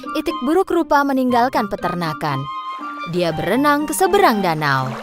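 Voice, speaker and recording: A woman narrating a children's story in an expressive, scripted storybook style. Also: a little high, normal speed. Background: music.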